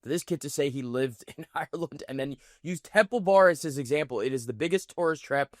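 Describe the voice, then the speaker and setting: A man's voice: on the high side, lively intonation, a little fast, no room sound. Indignant male reaction commentator, dry sound. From United States. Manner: indignant, mocking. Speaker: commentary host.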